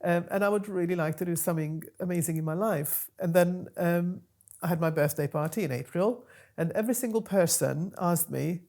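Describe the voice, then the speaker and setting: Fifties woman, deep-ish voice, stuffy nose, chatty and relaxed, in a little studio. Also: a little fast. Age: 50s. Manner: chatty, frequent ums. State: nasal from a cold. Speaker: podcast host and guest. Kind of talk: casual interview chat. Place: small recording studio.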